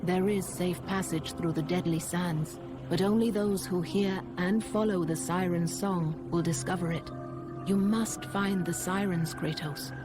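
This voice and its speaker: An actress playing a stately, commanding goddess in a video game, speaking in a grave, formal and deliberate way. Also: female voice, fairly low pitch, steady tone, music in the background, natural voice. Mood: grave, guiding. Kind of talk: video game cutscene acting.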